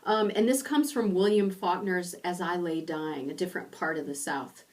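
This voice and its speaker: Woman, middle-aged, from rural Indiana, unhurried lecturer, slightly low pitch, webcam sound. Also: a little mellow, distant mic. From rural Indiana, USA. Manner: measured, explanatory, pauses with um. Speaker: university lecturer. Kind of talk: recorded online lecture. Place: home study with bookshelves.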